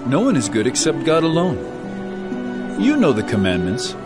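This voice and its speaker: Earnest prophet-teacher, declamatory, swooping intonation, audio Bible drama, strong musical backing. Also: male voice, mid-range voice, huge pitch swings, normal speed. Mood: firm. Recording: loud background music.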